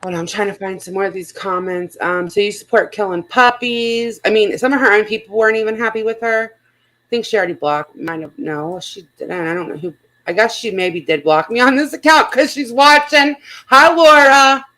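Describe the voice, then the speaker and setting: Woman livestream host, mid-pitched voice; casual, blunt, foul-mouthed commentary. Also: steady tone, normal speed, bright, forceful tone, low-quality recording. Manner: blunt, frequent swearing.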